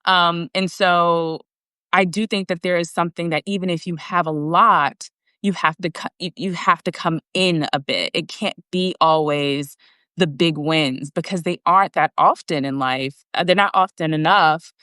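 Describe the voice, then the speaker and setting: Low-voiced earnest woman author. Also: normal speed, smooth, dry recording, studio quality. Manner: earnest, explanatory, steady. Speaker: guest and author. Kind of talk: casual conversation on a live video. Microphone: video call.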